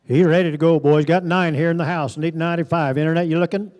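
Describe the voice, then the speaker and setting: A man working as an auctioneer, calling bids in a rapid rhythmic auction chant. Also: on the high side, steady tone, darkish tone.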